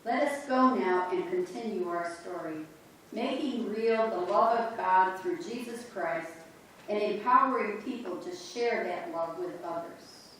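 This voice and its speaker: A woman reads aloud to a congregation at a slow, deliberate pace. She sits at a middle pitch, and her words carry the heavy, booming reverb of a large church sanctuary. The mic is far from her, so a faint background hum runs underneath. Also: a bit bright. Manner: slow, measured recitation. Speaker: reader in a church play. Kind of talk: scripted reading to a congregation. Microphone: distant room mic. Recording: echoey sanctuary recorded from afar.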